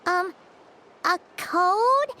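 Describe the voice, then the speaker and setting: A tiny, excitable fairy sidekick from a video game. The voice is extremely high and bright, squeaky and childlike, and swoops about in lively exclamations. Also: female voice, extremely slow, exaggerated voice. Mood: excited, curious. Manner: exclamatory, refers to herself by name. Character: small excitable childlike floating fairy companion, female.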